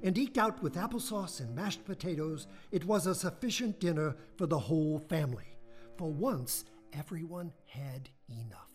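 A man's voice: on the high side, moderate pace, soft background music. A man narrating a radio play in a wry storytelling manner. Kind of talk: theatrical narration.

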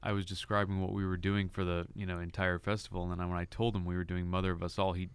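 Deep smooth-voiced man, dry close sound. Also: little pitch movement, fast talker, no echo. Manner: eager, jumping in. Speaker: music director. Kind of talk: casual radio interview.

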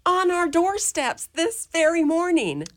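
A woman playing an outspoken elderly mother superior of a convent in a community radio play, talking brisk and firm. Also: very high-pitched, big pitch swings, a bit bright, full character voice. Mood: wry. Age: older. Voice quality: brisk, firm and preachy, with ready laughs. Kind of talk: community radio drama acting.

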